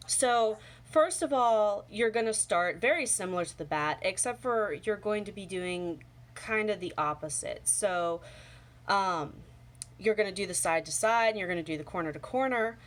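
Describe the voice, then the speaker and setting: A young woman with a slightly hoarse voice from a cold, casually explaining origami folds step by step at home, with many ums. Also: on the low side, a bit bright. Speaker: origami tutorial host. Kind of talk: casual how-to demonstration. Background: faint home sounds, toddler playing.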